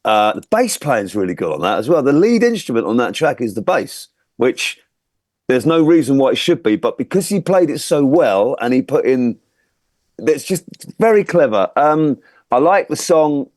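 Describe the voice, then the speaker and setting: A man's voice: mid-range voice, very animated, normal speed, a little mellow, no echo, clean studio sound. A man giving casual song critique as a music producer on a judging panel.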